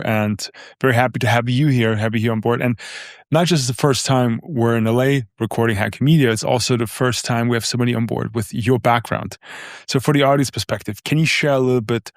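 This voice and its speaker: A man's voice: medium pitch, dry recording, studio quality. A man hosting a podcast, enthusiastic and rambling as he asks his questions. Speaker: podcast host. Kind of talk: podcast interview.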